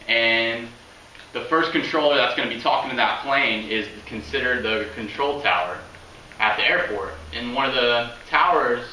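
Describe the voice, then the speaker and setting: Young guy doing an informal class speech, sharp bright voice, mic kinda far off in a room w faint noise. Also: mid-range voice, reverberant. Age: young adult. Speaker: student giving a speech. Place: indoors in a home room. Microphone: camera a few meters away.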